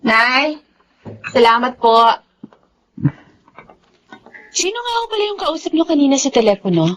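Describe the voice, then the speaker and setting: A woman's voice: high voice, very animated, normal speed, a bit bright, full character voice, rough recording. An actress playing a bitter, protective young separated mother in a Filipino radio drama, with a Filipino accent. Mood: annoyed.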